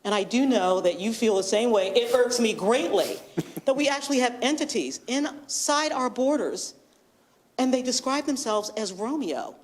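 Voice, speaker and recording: A woman with a loud voice giving a lively, laughing presentation as a board member at a public meeting. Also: on the high side, moderate pace, rough recording. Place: township meeting room.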